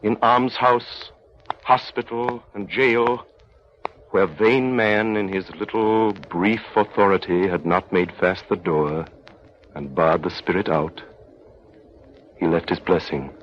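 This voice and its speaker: A man narrating an old-time radio drama in a solemn, theatrical, measured manner. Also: low voice, fairly even intonation, slight background noise. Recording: dull old radio recording with music.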